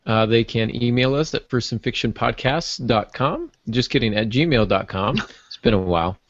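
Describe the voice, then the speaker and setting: A man's voice: mid-range voice, lively intonation, normal speed, no echo. Dry male co-host, close muffled sound. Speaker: podcast co-host. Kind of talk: casual podcast chat.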